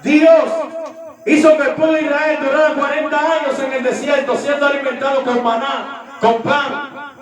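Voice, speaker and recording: A man's voice: very high-pitched, flat delivery, normal speed, a crowd in the background. A man preaching a sermon emphatically, repeating phrases and putting questions to his congregation. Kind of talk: impassioned sermon with acted-out dialogue. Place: large echoey room with a congregation. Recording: very echoey hall, distant mic, audience present.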